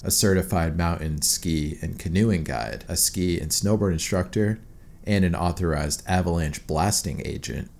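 A man with a deep, low voice narrates in a measured, scripted documentary style. His read stays steady and even from line to line. A faint hiss of noise sits under the voice.

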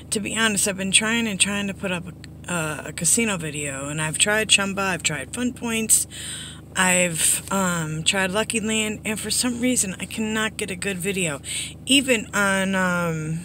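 A middle-aged woman with a hoarse, under-the-weather voice, giving a casual, rambling update to viewers in a low-key, apologetic way. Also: unhurried, bright, forceful tone. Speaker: slots vlogger. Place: inside a parked car. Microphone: phone at arm's length. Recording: background noise inside a vehicle.

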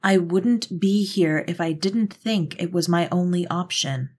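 A woman playing an earnest, persistent witch in an audio roleplay drama, talking in a measured, persuasive way. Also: lowish voice, a little mellow, slightly rough. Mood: pleading. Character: earnest, persistent female witch, pleading go-between.

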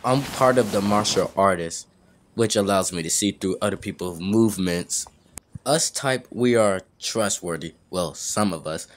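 A man voicing a seasoned, cocky fighter mentoring a newcomer in an amateur game roleplay, deliberate and ominous. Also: sits low, slight background noise. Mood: explaining.

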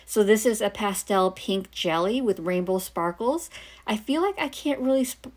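Hoarse woman, casual nail vlogger voiceover, relaxed chatty delivery, close mic. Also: on the low side. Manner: chatty, easygoing, thinking aloud. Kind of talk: voiceover for an unboxing and manicure video.

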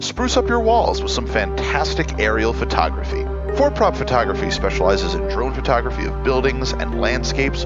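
A man reading a scripted promotional spot as an advert announcer. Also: medium pitch. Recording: dull, lo-fi, loud music.

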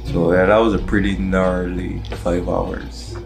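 A man's voice: low-pitched, little pitch movement, measured pace, gravelly. A man, casually talking to camera in a travel vlog with an easygoing, go-with-the-flow manner. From Barbados. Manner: laid-back, easygoing. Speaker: vlogger. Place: hotel room. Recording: background music under voice.

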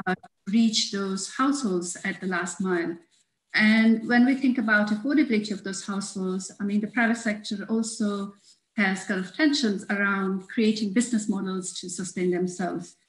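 A woman academic speaking carefully and deliberately as a panelist on a video call from home. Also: mid-range voice, reverberant, rough recording. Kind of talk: online panel discussion. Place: home room.

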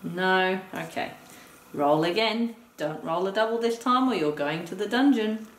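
A woman's voice: mid-range voice, expressive, a little slow. Woman in a roomy, distant recording. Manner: explains step by step, counting aloud. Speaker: teacher demonstrating a board game. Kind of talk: instructional game play-through talk, addressing a partner. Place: indoor room, game on a table. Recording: roomy, distant mic with noise.

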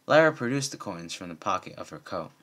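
Low-voiced man reading a story. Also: big pitch swings, a little fast, fairly bright tone. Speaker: reader of fan fiction. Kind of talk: amateur audiobook-style story reading.

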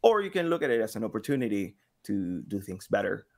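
A man's voice: lowish voice, quick pace, gravelly. A man in his thirties chatting casually and expressively as an interview guest, often acting out little imagined exchanges. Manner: expressive, acts out imagined quotes. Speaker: podcast guest, engagement director and sound designer. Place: home room, indoors.

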